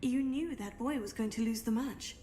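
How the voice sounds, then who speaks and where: A woman's voice: fairly high pitch, normal speed, mellow, a little hiss or hum, natural voice. A woman voicing an earnest teenage witch, a new student, polite and inquisitive, in a video game. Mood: earnest, curious. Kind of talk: video game character acting.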